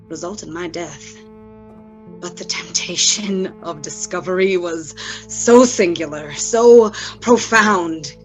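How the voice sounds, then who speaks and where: Obsessed scientist for gothic radio drama, played by a woman: slow, theatrical, deliberate confession over faint music. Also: lowish voice, close to an everyday voice, poor audio. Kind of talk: gothic radio play monologue.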